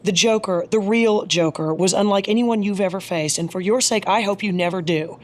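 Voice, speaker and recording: A woman's voice: medium pitch, moderate pace, close to an everyday voice. An actress playing a stern woman police commissioner with a clipped, commanding, serious way of talking in a superhero audio drama. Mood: grave, firm.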